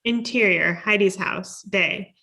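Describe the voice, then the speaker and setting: A woman narrates screenplay stage directions at a table read. Her tone is bright and clear-cut, at an average pitch. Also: slow.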